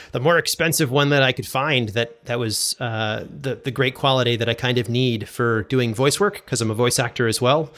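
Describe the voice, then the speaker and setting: Man explaining casually, mid-pitched. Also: fairly bright tone. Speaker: podcast guest, audio drama producer and sound designer.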